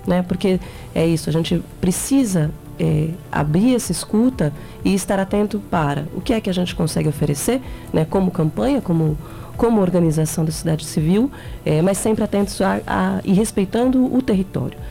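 A woman with a São Paulo accent answers interview questions in a measured, earnest way. Her voice is low and mellow. Soft music sits faintly behind her. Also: expressive, gravelly. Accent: São Paulo Portuguese. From São Paulo, Brazil. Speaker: guest, education campaign member.

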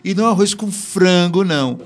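Chatty host with a Northeastern Brazilian accent. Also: male voice, high-pitched, normal speed. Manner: lively and chatty, with playful regional exclamations. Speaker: host of a live business talk show. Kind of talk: casual live-stream chat.

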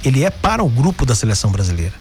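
A man from Brazil hosts a sports radio show and discusses football in a loose, chatty flow, often checking in with his listeners. His voice sits at a middle pitch, and faint music plays beneath him. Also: very animated, rapid-fire, darkish tone. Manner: chatty, frequent "né?" tags and restarts.

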